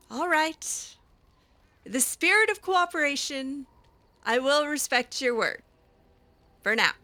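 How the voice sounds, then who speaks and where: A woman's voice: high voice, expressive, slow, long pauses, pushed and bright. A woman roleplaying over a video game, talking casually and hesitantly with a wry edge. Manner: hesitant, wry, lots of ums. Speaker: roleplay gamer. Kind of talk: casual in-character roleplay chat.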